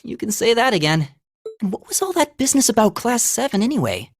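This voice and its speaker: A timid, friendly boyish student from a video game, voiced by a woman speaks in a fairly high voice with lively swings of pitch. The delivery is mild and hesitant, recorded dry. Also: fairly quick, breathy edge, no room sound.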